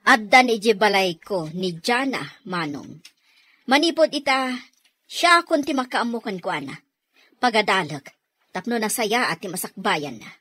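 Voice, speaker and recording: A woman's voice: mid-range voice, big pitch swings, normal speed, fairly bright tone, husky. A woman with an Ilocano Filipino accent playing an earnest, righteous younger sister who scolds in a radio drama. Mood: reproachful, earnest.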